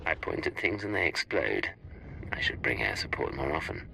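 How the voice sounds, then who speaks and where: An actor playing a cool, skeptical secret agent in a video game, dry and questioning. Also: male voice, very deep voice, very animated, normal speed, creaky, pushed and bright, faint music behind, heavily acted, low-quality recording. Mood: dryly smug. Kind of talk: cinematic video game acting.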